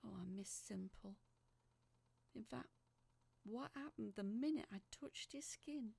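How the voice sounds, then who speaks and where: A woman playing a feisty woman recounting a strange ordeal in expressive, sharp-tongued audio drama narration. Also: on the high side, unhurried, creaky, slightly rough, no echo, heavily acted. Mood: wry. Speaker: narrator reading a letter aloud. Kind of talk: dramatic first-person storytelling in audio fiction.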